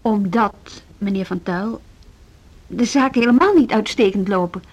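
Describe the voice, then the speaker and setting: An actress in a Dutch radio play playing a strong-willed, principled music school deputy, articulate and pointed, with a Netherlands accent. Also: female voice, mid-range voice, a little slow, darkish tone, muffled recording, natural voice. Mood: pointed, resolute. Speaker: radio drama actor.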